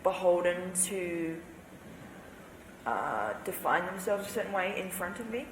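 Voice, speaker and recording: A young woman in her twenties with a lowish voice talks on a panel, rambling with fillers and hedges. Her voice sounds roomy, with long hall reverb. Faint ambient noise sits behind it. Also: unhurried. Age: 20s. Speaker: panel guest. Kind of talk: panel discussion answers.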